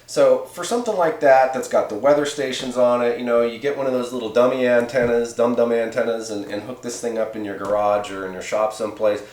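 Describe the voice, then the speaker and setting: A man's voice: mid-range voice, fairly even intonation, a little fast, hardly any pauses, a little mellow. A man giving a casual, rambling, off-the-cuff product review in a laid-back, colloquial way. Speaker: host of CB radio review videos. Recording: echoey room sound.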